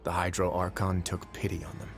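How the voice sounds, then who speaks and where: A male actor plays a world-weary man in command of an undersea fortress. His voice sits low, and he talks in calm, measured, articulate lines like a dry storyteller. It is video game dialogue with music playing behind him. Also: lightly acted. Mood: calm, storytelling. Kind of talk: English video game voice acting, expository dialogue.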